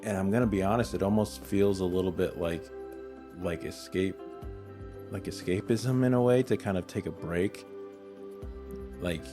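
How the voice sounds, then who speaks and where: A man in his forties, co-hosting a podcast, talking candidly and a little haltingly in casual chat. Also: low voice, music in the background. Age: 40s. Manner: candid, hesitant with restarts. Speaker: podcast co-host.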